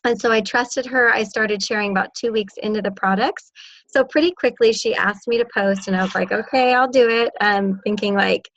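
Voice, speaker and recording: A woman's voice: medium pitch, steady tone, moderate pace, a bit bright, no echo, lo-fi sound. A woman hosting a panel, upbeat and encouraging as she shares her own story. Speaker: panel host. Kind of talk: casual presentation.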